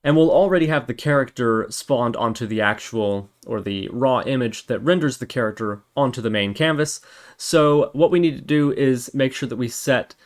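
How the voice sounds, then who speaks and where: A man's voice: medium pitch, normal speed. A man hosting a programming tutorial, explaining each step methodically and thinking aloud as he works. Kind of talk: screen-recorded coding tutorial, explaining step by step.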